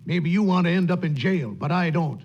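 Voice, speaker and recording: An actor playing a nervous, grumbling outlaw henchman with a gravelly, husky voice in a 1940s radio western. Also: high voice, a little fast, darkish tone, dull audio. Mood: nervous defiance. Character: nervous, grumbling outlaw henchman, tough-talking but cowardly. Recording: old 1940s radio recording.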